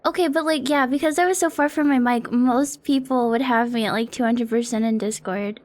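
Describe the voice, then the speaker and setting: A woman's voice: a little high, fairly even intonation, brisk, darkish tone. A young woman streaming from her apartment, chatting casually in a rambling, self-deprecating way and swearing often, close to a desk mic. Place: home apartment streaming setup. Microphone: close desk mic on an arm.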